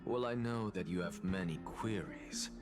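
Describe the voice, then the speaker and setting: A man's voice: low-pitched, normal speed, lightly acted. A man playing a composed, aloof scholar in a fantasy game, speaking without hurry. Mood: calm, assured. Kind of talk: scripted fantasy game acting. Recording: game music behind the voice.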